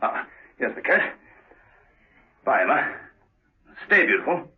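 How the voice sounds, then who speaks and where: An actor playing a genial, gallant British secret agent in a 1970s radio drama. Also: male voice, mid-range voice, very animated, unhurried, husky, full character voice. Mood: courtly, cheerful. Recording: muffled, noisy vintage radio recording.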